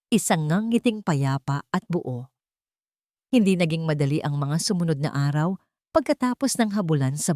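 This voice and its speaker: A woman narrating a story expressively and sentimentally, in short measured phrases. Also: low-pitched, darkish tone, no room sound, studio quality. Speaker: audiobook narrator.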